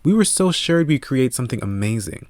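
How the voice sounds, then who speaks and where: A man voicing a gentle, vulnerable woman who is opening up, in a soft, earnest audiobook delivery. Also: medium pitch, sing-song, a little fast, no echo, pitched above the performer's own voice, lightly acted. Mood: amused, nostalgic. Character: gentle wounded woman restoring her garden, vulnerable, opening up.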